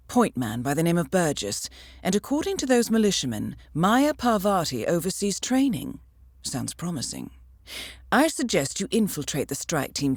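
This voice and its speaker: An actress playing a cool, dryly witty spy handler in a video game, with a British accent and a measured, polished, understated delivery. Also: female voice, fairly low pitch, no echo, studio quality. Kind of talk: scripted video game voice acting, mission briefings.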